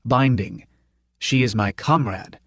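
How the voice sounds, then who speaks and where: A man voicing an older male professor, gentle and courtly, who speaks with halting, fussy politeness. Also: medium pitch, no echo, studio quality. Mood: earnest. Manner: warm, halting, fussy old-world politeness.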